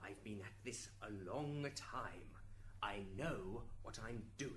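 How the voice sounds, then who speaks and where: A man's voice: fairly low pitch, expressive, slow, a bit bright, a little hiss or hum. A man playing a stern, impatient heavenly judge in an amateur radio drama. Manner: authoritative, stern.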